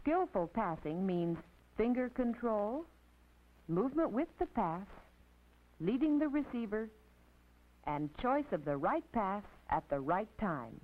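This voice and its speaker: An American woman narrating an instructional film as a teacher, in a precise, didactic, scripted manner with measured phrasing. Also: mid-range voice, very animated. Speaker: narrator and physical education instructor. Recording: tinny, band-limited 1950s film soundtrack.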